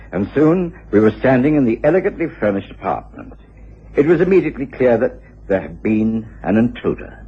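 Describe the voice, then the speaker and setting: A genteel British man tells a mystery as the detective's observant companion. His voice is very husky and rises and falls expressively. The recording is old and muffled. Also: mid-range voice, full character voice. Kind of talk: scripted first-person story narration in radio drama.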